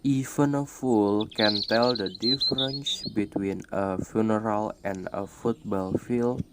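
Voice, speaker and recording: A man's voice: lowish voice, steady tone, slow. A young man playing a blunt, sarcastic student in an amateur radio drama. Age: young adult. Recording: noisy, with birdsong and clicks.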